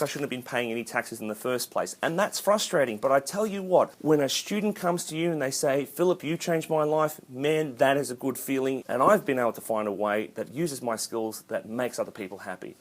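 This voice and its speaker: A middle-aged man with an Australian accent, introducing himself in a scripted vlog with a chatty, emphatic manner and playful asides. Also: fairly high pitch. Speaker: accounting lecturer and YouTuber. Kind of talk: scripted self-introduction to camera.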